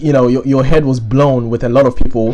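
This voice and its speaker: A man's voice: medium pitch, steady tone, fast talker, warm tone, soft background music. A man hosting a casual interview, playful, laughing often and repeating words as he stumbles into questions. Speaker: host.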